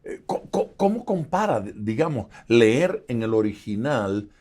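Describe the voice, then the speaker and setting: A man's voice: mid-range voice, very animated, measured pace, darkish tone. A man hosting an interview, asking questions hesitantly with many fillers and joking about himself. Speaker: host, pastor. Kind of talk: casual interview.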